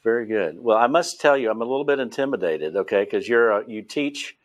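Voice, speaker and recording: A man's voice: medium pitch, expressive, brisk. A man with a West Virginia accent hosting an interview, talking loosely with restarts. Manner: rambling, many fillers and restarts. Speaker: host and Bible teacher. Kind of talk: casual interview.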